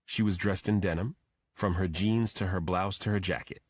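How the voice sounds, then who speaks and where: A man's voice: deep voice, steady tone, normal speed, husky, no echo. A man reading a scripted thriller audiobook as its narrator.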